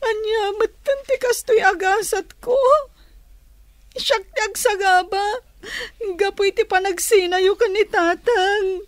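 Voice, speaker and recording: Very high-pitched pleading Filipino girl. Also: steady tone, slow, no room sound. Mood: crying, pleading. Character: tearful, pleading young girl abandoned by her mother. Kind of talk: Filipino radio drama acting.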